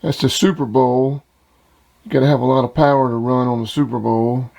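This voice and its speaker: A man's voice: mid-range voice, flat delivery, a little fast, creaky, very noisy. A man casually showing off radio gear, plain-spoken and enthusiastic, like a hobbyist hosting his own video. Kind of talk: casual product demonstration.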